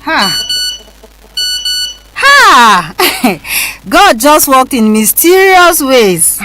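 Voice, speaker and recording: A woman with a Nigerian accent playing a weary, grateful mother in a radio drama, gentle and hesitant. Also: high voice, big pitch swings, extremely slow, background noise, exaggerated voice. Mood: relieved. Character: weary, grateful mother struggling to raise her children. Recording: music behind the voices.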